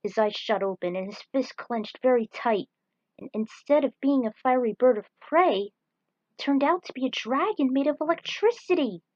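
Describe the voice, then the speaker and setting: A woman's voice: high-pitched, expressive, moderate pace, no room sound. A woman reading an action story aloud as narrator, in a dramatic scripted style. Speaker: narrator reading own fan fiction. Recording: dull, lo-fi close recording.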